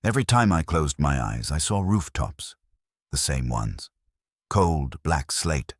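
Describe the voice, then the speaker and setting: A man voicing a shaken, bewildered man under police questioning in an audio drama, halting and insistent. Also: very deep voice, big pitch swings, breathy edge, no echo, clean studio sound, exaggerated voice. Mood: haunted.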